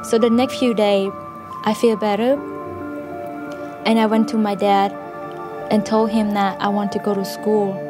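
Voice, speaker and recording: A young woman, giving a soft, steady personal testimony in a studio interview. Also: medium pitch, measured pace, long pauses. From Cambodia. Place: indoor studio interview set. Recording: music underneath.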